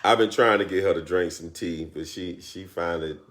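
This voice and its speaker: A man's voice: deep voice, fairly quick, a bit bright. A man, teasing his wife, then teaching about relationships on a live stream. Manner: teasing, affectionate, then earnest. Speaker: co-host of a church live stream. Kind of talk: casual chat turning to teaching.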